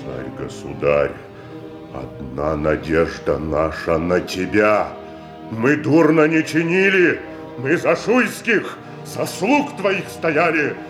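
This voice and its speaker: A man's voice: lowish voice, animated, takes it slowly, over music. An actor playing an earnest commoner beseeching his tsar, pleading and emphatic in a classical radio tragedy. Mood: urgent pleading. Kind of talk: declamatory classical tragedy acting.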